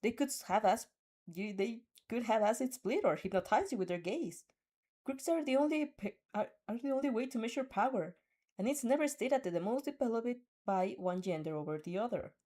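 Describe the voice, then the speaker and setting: A woman reading fan fiction in an animated storytelling style, sometimes stumbling over words and stepping out of the script. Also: a little high, brisk. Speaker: fan fiction reader and dubber. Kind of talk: expressive storytelling with off-script asides.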